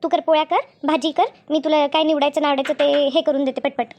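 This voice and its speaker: Woman as a bossy high-voiced elder sister-in-law. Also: little pitch movement, darkish tone, lightly acted. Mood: bossy, coaxing. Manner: brisk, instructing, repetitive. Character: bossy, two-faced elder sister-in-law running the kitchen. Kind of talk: cartoon family drama dialogue.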